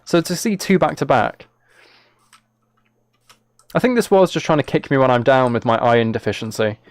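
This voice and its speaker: A man's voice: mid-range voice, expressive, moderate pace, no room sound. A British man chatting casually on a game livestream, talking to viewers in a mock-exasperated, self-deprecating way. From United Kingdom. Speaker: live streamer.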